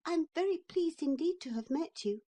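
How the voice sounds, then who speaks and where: A woman voicing a polite but indignant little boy, high-pitched and quick. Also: animated, warm tone. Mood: defiant.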